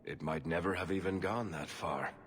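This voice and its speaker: An actor playing a wry, cagey dwarf rogue in a fantasy game, talking in a sardonic, offhand way. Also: male voice, deep voice, moderate pace, a bit bright, husky, full character voice. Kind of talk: dramatic fantasy game acting. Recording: long hall reverb.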